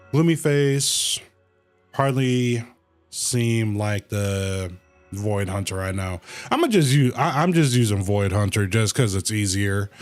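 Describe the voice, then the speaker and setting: A man livestreaming a game with casual, playful reaction commentary, slangy and emphatically repeating his phrases. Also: fairly low pitch.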